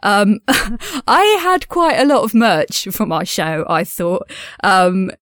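A woman's voice: mid-range voice, big pitch swings, slow, a bit bright, dry recording. Effusive woman in a dry recording. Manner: effusive, enthusiastic, earnest. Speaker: podcast creator and host. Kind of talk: personal audio diary, casual monologue.

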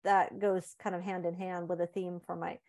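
A woman in her forties from Sweden, a guest author talking in a casual interview, thoughtful and halting, with many fillers and restarts. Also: lowish voice, level tone, darkish tone.

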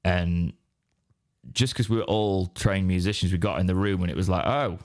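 An English man with a deep, raspy, hoarse voice from straining it, rambling in casual podcast chat. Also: moderate pace, a little mellow. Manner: rambling, restarts mid-sentence. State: hoarse after straining his voice. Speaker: podcast co-host.

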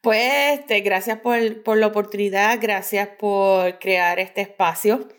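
A woman's voice: mid-range voice, fairly even intonation, slow pace. A middle-aged woman with a Puerto Rican accent, telling her story conversationally as an interview guest and mixing in English phrases. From Puerto Rico. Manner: mixes English phrases into Spanish. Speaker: guest, pediatric dental hygienist.

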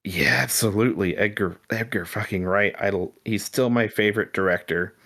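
A man with a fairly low voice hosts a casual podcast about film and TV. His delivery is informal and rambling, and he drops swear words freely as he goes. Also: normal speed, a bit bright. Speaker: podcast host.

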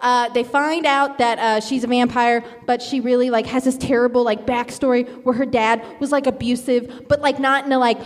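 Female, late teens, high-pitched, chatty and rambling improv storyteller, long hall reverb. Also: fairly even intonation, moderate pace, few pauses. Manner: rambling, conversational, peppered with "like" and "you know". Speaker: game show contestant. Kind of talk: improvised comic movie pitch, casual chat.

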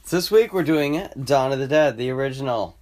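A man's voice: mid-range voice, a little fast. Guy hosting a podcast, loose rambly chat, been drinking. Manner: relaxed, rambling banter after drinks. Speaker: podcast host. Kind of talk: casual chat.

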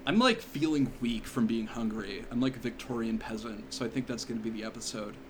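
A man's voice: medium pitch, brisk. A man co-hosting a podcast, chatting and joking in a rambling way with lots of filler words. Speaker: podcast co-host. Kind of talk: casual comedic chat. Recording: faint room noise, fans in background.

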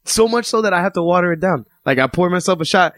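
A young man in casual chat, chuckling often and reacting quickly. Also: sits high, lively intonation, fairly bright tone, no room sound.

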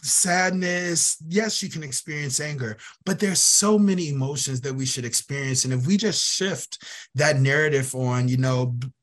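Man, fairly high voice, earnest, emphatic, interview guest, repeats phrases for stress. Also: normal speed, a bit bright. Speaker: guest, youth program director. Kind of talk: conversational interview.

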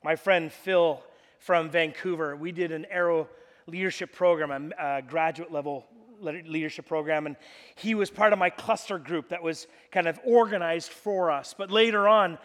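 A man preaching a sermon in a church, with a loud, animated delivery and frequent restarts. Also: fairly high pitch, normal speed. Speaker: pastor. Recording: long hall reverb.

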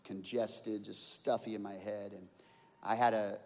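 A pastor in his forties preaching a sermon, telling stories and swinging from quiet asides to loud outbursts. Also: fairly low pitch, level tone, unhurried, vocal fry. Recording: long hall reverb.

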